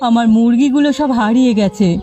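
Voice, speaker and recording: A woman voicing a scolding, indignant old village matriarch in an animated folk tale. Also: on the high side, fast, close to an everyday voice. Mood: aggrieved. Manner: scolding, accusatory.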